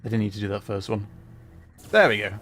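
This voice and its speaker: A man hosting a livestream, giving casual, halting gameplay commentary as he thinks aloud. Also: on the low side, lively intonation, fairly bright tone, slight background noise. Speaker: livestream host playing a video game.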